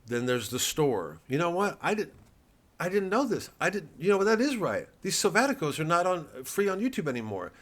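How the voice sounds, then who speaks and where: A comedian rambling through a sardonic, profane monologue full of digressions. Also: male voice, high-pitched, big pitch swings, fairly quick.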